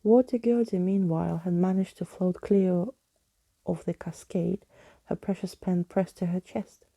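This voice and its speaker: A woman reading a storybook as ASMR, in a soft, breathy, gently hushed voice that slips into whispering. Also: on the low side, steady tone, measured pace, no echo. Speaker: ASMR reader, narrator.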